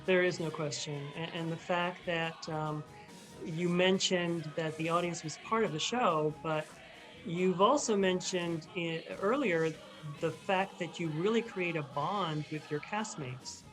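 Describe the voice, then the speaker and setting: Effusive male host with halting delivery. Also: fairly high pitch, fairly bright tone, soft background music, poor audio. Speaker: interview host. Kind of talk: casual interview chat.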